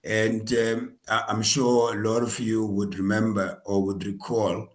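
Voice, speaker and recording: A man's voice: fairly low pitch, level tone, a little slow. A man introducing the speaker at a webinar, talking with frequent hesitations and restarts. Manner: hesitant, many fillers and restarts. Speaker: association representative introducing the speaker. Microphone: video call.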